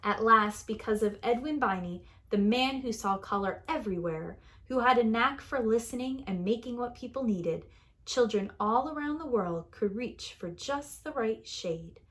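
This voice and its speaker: A woman reading a picture book aloud like a preschool teacher, in a deliberate, expressive storytelling manner that leans on key words. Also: on the high side. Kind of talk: children's story read-aloud.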